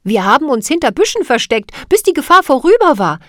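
A woman's voice: high voice, big pitch swings, a little fast, a bit bright, no room sound, studio quality, voice pushed up. A woman reading a storybook voices an eager young girl. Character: two eager young girls, human.

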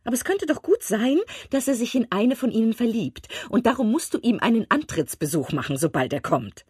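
A woman reading aloud and voicing an excitable, gossiping middle-aged mother of five daughters in an eager, insistent manner. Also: on the high side, a little fast, husky, no echo, studio quality, voice pushed up, full character voice. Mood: impatient.

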